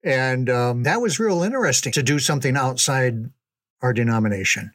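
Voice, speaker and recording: An older man reminiscing casually in a folksy, unhurried, conversational way, as a storyteller. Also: mid-range voice, lively intonation, fairly bright tone, no room sound, studio quality. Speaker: storyteller recalling ministry memories.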